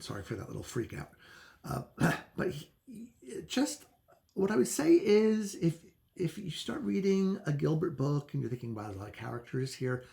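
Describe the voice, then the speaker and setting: A casual, opinionated book reviewer in a solo monologue. The voice sits at a medium pitch with noticeable fry and very lively intonation. The delivery is chatty and unscripted, pausing and rephrasing as thoughts form. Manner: chatty, opinionated, thinking aloud with hesitant phrasing.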